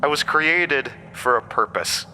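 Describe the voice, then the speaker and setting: Horror podcast villain, male actor: undying hatted entity, very bright forceful edge, mocking and weary, theatrical, faint noise behind. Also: mid-range voice, animated, measured pace. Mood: exasperated, mocking. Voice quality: broken-windpipe voice, borrowed from another man. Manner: mocking, theatrical, cryptic, chuckling asides. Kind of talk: horror audio drama acting.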